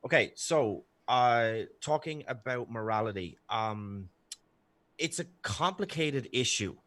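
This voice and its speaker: A man with an Irish accent giving unscripted opening remarks as a debater, halting often with filled pauses. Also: medium pitch, lively intonation, a bit bright, no room sound.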